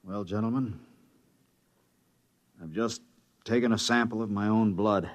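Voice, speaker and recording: An actor playing a glum, weary expedition scientist with a halting, understated delivery in a 1950s radio drama. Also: male voice, on the low side, close to an everyday voice. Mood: sick, weary. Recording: dull old radio recording.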